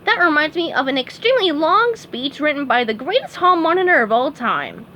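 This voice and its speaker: A very high, excitable cartoon sea sponge boy, as a woman reads him aloud. He makes grand, pompous speeches and shouts with zeal, his voice bouncing all over the place. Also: fairly quick, a little hiss or hum, full character voice. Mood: pompous, zealous. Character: eager excitable young male sea sponge. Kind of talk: storybook read-aloud.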